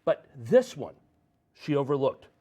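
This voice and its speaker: A man acting a gentle, knowing, persuasive angel in a theatrical radio drama style. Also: mid-range voice, sing-song, unhurried.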